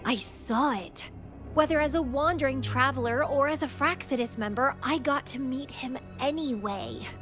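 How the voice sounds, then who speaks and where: A woman's voice: high-pitched, normal speed, fairly bright tone, faint music behind. A woman voicing a playful, thrill-seeking young female warrior in a video game, wistful and possessive, with a teasing, theatrical delivery. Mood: wistful, pensive. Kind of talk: scripted video game character acting.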